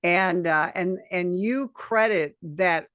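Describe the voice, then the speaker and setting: A woman hosting a podcast, asking measured, deliberate interview questions. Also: lowish voice, a bit bright, dry recording, studio quality. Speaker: podcast host.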